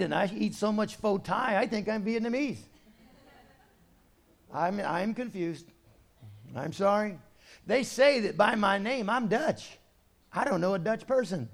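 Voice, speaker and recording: Man, pastor, sermon, conversational preaching, soft asides, loud emphatic points, raised pitch. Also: gravelly.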